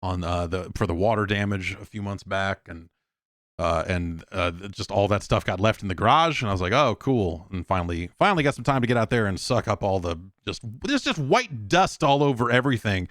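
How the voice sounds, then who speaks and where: A man's voice: lowish voice, animated, brisk, a bit bright, dry recording, studio quality. An American man in his late forties hosting a podcast solo, chatting casually in a rambling, wry, self-deprecating and sometimes profane way.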